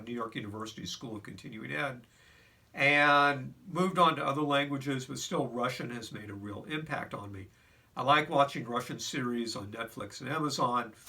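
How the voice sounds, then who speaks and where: A man's voice: medium pitch, sharp tone, distant mic. An older man telling a reflective personal story in a conversational way, with fillers and restarts.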